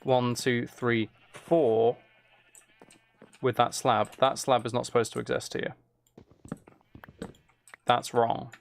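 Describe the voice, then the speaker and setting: A man's voice: medium pitch, level tone, takes it slowly, creaky, pauses often. A man giving casual gameplay commentary, thinking aloud and correcting himself as he chats to viewers. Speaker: streamer playing a building game.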